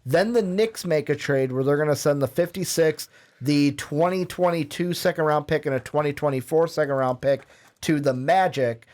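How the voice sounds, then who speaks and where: A man's voice: fairly high pitch, normal speed, darkish tone. A man co-hosting a sports podcast, reading draft picks casually with fillers and self-corrections. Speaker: podcast co-host. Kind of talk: reading a mock draft list.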